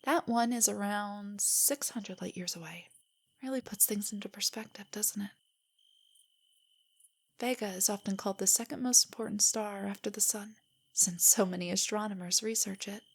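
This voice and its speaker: A young woman playing a nerdy, nervous, tender girlfriend in an intimate stargazing roleplay audio, speaking softly and often near a whisper as she gently rambles. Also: mid-range voice, normal speed, husky. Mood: gently enthusiastic. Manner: rambling, gently explaining, hesitant. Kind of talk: intimate roleplay audio, scripted to sound spontaneous.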